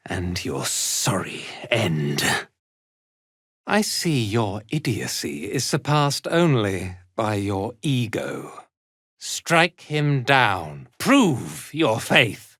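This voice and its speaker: A man's voice: fairly low pitch, fairly bright tone, slightly rough, dry recording, clean studio sound, exaggerated voice. A man voicing an arrogant, contemptuous drow commander in a video game, with a haughty, imperious and theatrical delivery. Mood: commanding, menacing. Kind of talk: dramatic video game voice acting.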